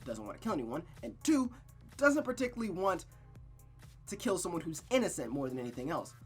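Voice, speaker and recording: Higher-voiced man streaming a story game, music behind. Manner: slangy, exclamatory, emphatic repetition. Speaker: streamer reading a visual novel aloud. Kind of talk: narrated reading and casual, profane recap chat.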